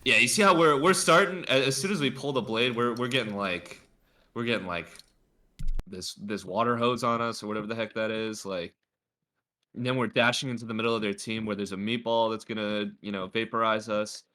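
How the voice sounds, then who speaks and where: A man's voice: lowish voice, brisk, bright, forceful tone. A man coaching a player through a game replay in a casual, chatty way, with a slightly stuffy nose. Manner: chatty, lots of filler words. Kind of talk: casual gameplay review.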